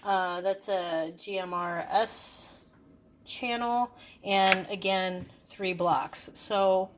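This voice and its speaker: A woman's voice: mid-range voice, fairly even intonation, drawn-out words. A woman giving a casual, hands-on product review, explaining things in a hesitant, unscripted way. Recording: clearly noisy background.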